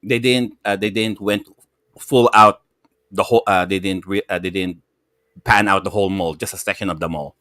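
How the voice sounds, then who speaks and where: A man with a Filipino accent chatting casually as a gaming show panelist, excitable and rambling, often repeating himself. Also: sits low, normal speed, no room sound, studio quality. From Philippines. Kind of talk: casual chat.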